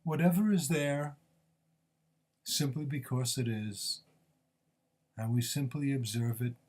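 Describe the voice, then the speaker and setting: Male meditation teacher, medium pitch, measured and gently instructive, short phrases with pauses, guided body scan delivery.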